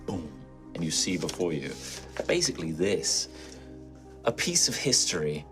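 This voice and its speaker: A man's voice: low voice, big pitch swings, unhurried, a bit bright. A man narrating a tabletop roleplaying game as game master, conversational with theatrical emphasis when he describes scenes. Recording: background music under the voice.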